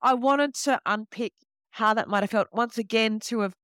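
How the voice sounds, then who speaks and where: A woman's voice: on the high side, moderate pace, fairly bright tone, no room sound, studio quality. A woman novelist answering interview questions in a reflective, explanatory, conversational way.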